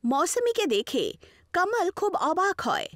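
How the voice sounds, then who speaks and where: A woman's voice: very high voice, very animated, a little slow, no echo, studio quality. A woman reading scripted narration for a horror story as its storyteller.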